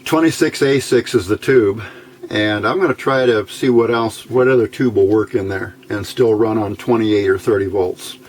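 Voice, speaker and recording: A middle-aged or older man casually explaining technical details as a hobbyist, halting and thinking aloud, recorded indoors at a worktable. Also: lowish voice, a little mellow. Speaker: hobbyist radio builder giving a tutorial. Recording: noisy amateur home recording.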